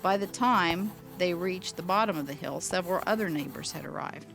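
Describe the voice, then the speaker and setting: A woman playing an older storyteller, narrating her memories of an airship wreck in a measured, deliberate way. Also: fairly low pitch, creaky, fairly bright tone, faint music behind. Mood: solemn recollection. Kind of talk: scripted first-person narration.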